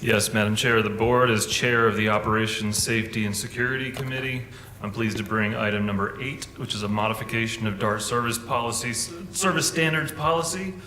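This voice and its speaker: A man with a low voice and a bright, forceful tone presents a committee item at a board meeting. He speaks in a measured, businesslike manner. The recording has the echo of a large meeting room. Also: slight background noise. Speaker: board member and committee chair. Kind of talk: formal motion presentation.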